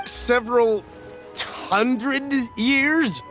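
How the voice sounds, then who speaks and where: A woman's voice: fairly high pitch, extremely slow, fairly bright tone, faint music behind. A woman playing an anxious, cautious, humble buyer in a video game, with a nervous, fretful, polite manner. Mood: flustered, pleading.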